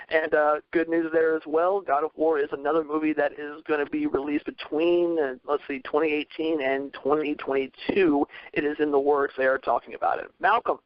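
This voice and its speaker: Higher-pitched male podcast host, dry sound. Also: dry recording. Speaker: podcast host moderating a discussion. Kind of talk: conversational hosting. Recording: slightly dull, dry radio-like sound.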